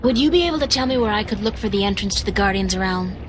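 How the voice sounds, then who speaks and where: Woman doing a young game heroine, polite, asks lots of questions, lively voice, hiss behind. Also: medium pitch, quick pace, natural voice.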